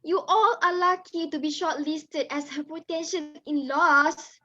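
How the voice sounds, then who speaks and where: A woman playing a haughty, boastful mother of high standing in a dramatised reading, with a smug, theatrical manner. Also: steady tone, measured pace, pushed and bright, highs cut off, a little hiss or hum. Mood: condescending. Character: haughty, boastful Datin bargaining over her daughter's bride price.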